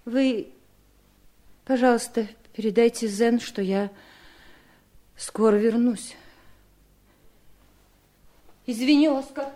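A sweet, timid sister-in-law in a 1970s radio drama. Her high voice gushes with naive, flustered eagerness. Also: slow pace, warm tone. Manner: breathlessly eager, naive, gushing. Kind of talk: 1970s Soviet radio play acting.

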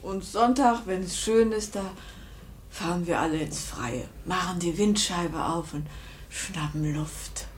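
Patient, hushed wife, noisy recording. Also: female voice, fairly low pitch, slow pace. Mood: gentle, coaxing. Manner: gentle, hushed, breathy coaxing. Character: patient, soothing wife of an aging salesman. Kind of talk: 1950s German radio drama acting. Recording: noisy vintage 1950s radio recording.

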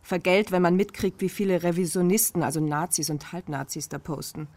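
A brisk, computer-savvy confidante played by an actress in a radio crime drama. Her voice sits very low for a woman, and she explains things in a wry, matter-of-fact way. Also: fairly even intonation, darkish tone, close to an everyday voice. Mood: wry, explaining.

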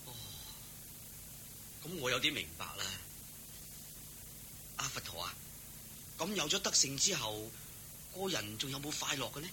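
An actor playing an eager, humble, good-hearted cowherd servant in a Hong Kong Cantonese radio drama, deferential and full of exclamations. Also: male voice, mid-range voice, big pitch swings, unhurried, long pauses, pushed and bright, slight background noise, exaggerated voice. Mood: respectful, grateful.

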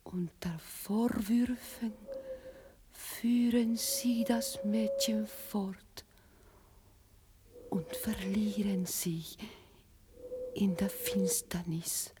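An actress narrating a dark radio drama in a deliberate, dramatic manner, in short measured phrases. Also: female voice, medium pitch, fairly even intonation, background noise. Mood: somber. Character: grave female narrator of a dark tale. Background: music.

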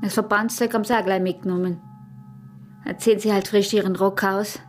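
An actress with an Austrian accent playing a smuggler's wary, teasing peasant wife in a radio drama, her voice breathy and husky. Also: medium pitch, steady tone, fairly quick, faint music behind, close to an everyday voice. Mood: teasing, flirtatious. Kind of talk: intimate radio drama acting in dialect.

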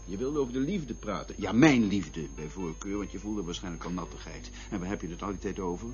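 An actor playing a dry, aloof, stern companion in a radio play, with a reserved, formal, reproving manner. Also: male voice, low voice, lively intonation, a little fast, a little mellow. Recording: dull lo-fi radio recording with music.

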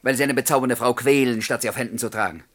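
A man's voice: mid-range voice, fast talker, close to an everyday voice. A man playing a bold but polite young suitor who also narrates his story in a German radio play. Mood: bold, challenging. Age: young adult. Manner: courteous, provocative, crisp.

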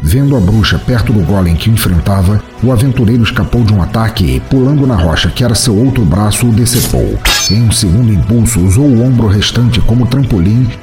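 Low, animated male storyteller with mellow tone. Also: brisk, few pauses, faint music behind. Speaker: podcast host and narrator. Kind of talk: scripted fantasy story narration.

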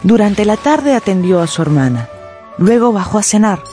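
A woman narrating a scripted radio drama in an expressive storytelling manner. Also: mid-range voice, moderate pace, faint music behind.

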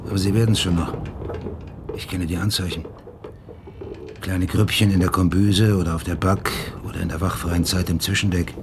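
An actor playing a wary, dutiful ship's lieutenant in a radio play, measured, formal and foreboding. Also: male voice, low voice, fairly even intonation, background noise. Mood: grim warning.

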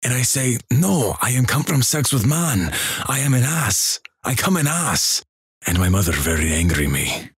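A man doing a fake Russian accent, young guilt-ridden student, broken halting English, low husky tone, dry close sound. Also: no room sound, exaggerated voice. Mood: earnest. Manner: broken halting English, earnest deadpan delivery.

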